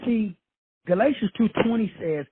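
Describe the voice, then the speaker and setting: A man's voice: sits high, expressive, normal speed, background noise. A man from Texas hosting a call-in show, preaching profane motivation with swagger and slang. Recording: tinny phone line, dry.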